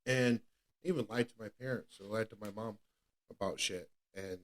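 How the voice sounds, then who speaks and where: A man's voice: fairly low pitch, moderate pace, fairly bright tone. A man in his thirties giving a candid personal testimony as a podcast co-host, earnest and repetitive, often restarting his sentences. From New England. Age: 30s.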